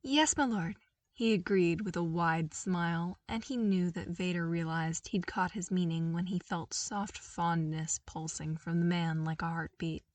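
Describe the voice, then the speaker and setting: A woman's voice: lowish voice, level tone, a little slow, hardly any pauses, a little mellow, no echo, recorded from a distance. A woman reading a scripted story as an audiobook narrator. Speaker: podfic narrator.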